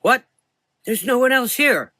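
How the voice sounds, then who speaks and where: A man plays a fanatical villain doctor in a gothic horror audio drama. He is volatile and sneering, with a forceful, high-pushed voice and a dry, close sound. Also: animated, a little slow, dry recording, full character voice. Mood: agitated. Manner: taunting, volatile, shouting in anger.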